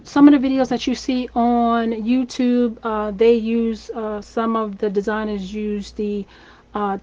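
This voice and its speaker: A woman's voice: fairly high pitch, a little mellow, dull audio. A woman with a scratchy voice from laryngitis, chatting her way step by step through a live craft tutorial. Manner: chatty, friendly, step-by-step instructing. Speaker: wreath maker and shop owner. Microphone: phone or camera livestream.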